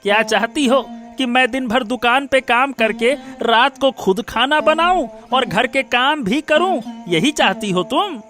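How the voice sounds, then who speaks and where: A man's voice: very high voice, expressive, unhurried, hardly any pauses, bright, forceful tone, full character voice. A man playing a harsh, irritable, bullying husband in a cartoon moral story, scolding with sarcastic questions. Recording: music behind the voice.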